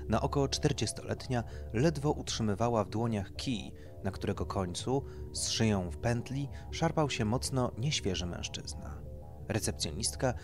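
A man reading a satirical horror story as scripted narration. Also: lowish voice. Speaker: narrator, audiobook reader.

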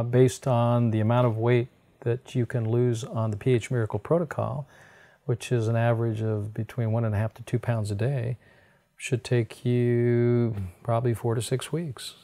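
A man's voice: mid-range voice, fairly even intonation, unhurried, dark tone. A middle-aged American man giving conversational health advice in a folksy, explanatory way. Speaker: health doctor and program host. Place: indoors on a sofa in a book-lined room.

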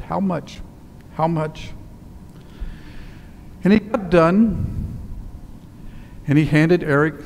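A man in his sixties preaching a sermon, telling a story and dropping to a quiet, slow emphasis on key words, standing in a church sanctuary on a headset mic. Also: on the high side, gravelly, slight background noise. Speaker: priest. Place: church sanctuary in front of altar. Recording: long church reverb with faint ambience.

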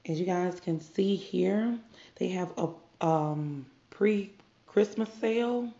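A woman's voice: lowish voice, slow pace, creaky, warm tone. A woman with a stuffy nose from a cold, chatting to viewers as she unboxes products. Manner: chatty, addresses viewers as "you guys". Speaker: beauty vlogger. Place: indoor room. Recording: muffled, echoey room.

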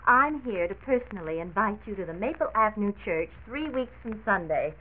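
A woman's voice: medium pitch, lively intonation, fairly quick, lightly acted. An actress playing an earnest, good-humored, slightly defensive woman decorator, hesitant and conversational, in 1940s radio style. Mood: pleased. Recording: tinny 1940s radio transcription disc.

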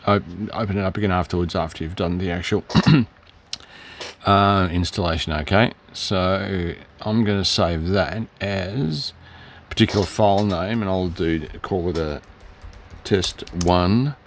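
A hoarse middle-aged man, rambling through a casual product demo in his hobby shop, with lots of fillers and self-corrections. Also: sits low, unhurried, slight background noise. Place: hobby shop, shelves behind.

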